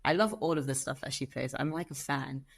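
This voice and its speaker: Guest: young Zimbabwean-accented woman, low voice, lively storyteller. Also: brisk, gravelly, a little hiss or hum. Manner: animated storyteller, acts out quoted voices. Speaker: guest, lawyer. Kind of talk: conversational interview.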